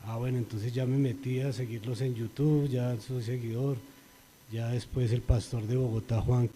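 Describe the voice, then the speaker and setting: A middle-aged Colombian man gives an earnest spoken testimony, his very dark, mellow voice lifting and swelling whenever he quotes scripture. It rings out in a hall with long reverb, and his pitch stays in the middle range for a man. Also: steady tone, moderate pace, slight background noise. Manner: earnest, rises and grows louder when quoting scripture. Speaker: church member giving testimony.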